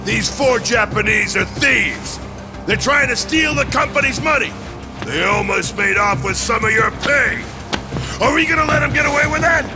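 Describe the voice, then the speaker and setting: A man's voice: very high voice, animated, moderate pace. An actor playing an angry, foul-mouthed, middle-aged warehouse foreman in a video game, aggressive and bellowing. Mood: furious rallying. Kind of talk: dramatic video game voice acting. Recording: loud music behind the voice. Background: loud music.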